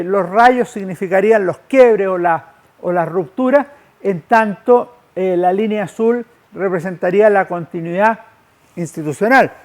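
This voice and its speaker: A man delivers a public lecture. His manner is didactic and measured. He uses formal phrasing as he explains things to his listeners. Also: high-pitched. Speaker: lecturer, historian. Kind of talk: public lecture on history, with slides.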